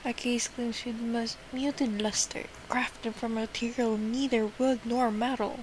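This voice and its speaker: A woman's voice: fairly high pitch, level tone, unhurried, a little hiss or hum, lightly acted, rough recording. A woman voicing a young traveler, reading video game lines aloud. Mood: curious, plain.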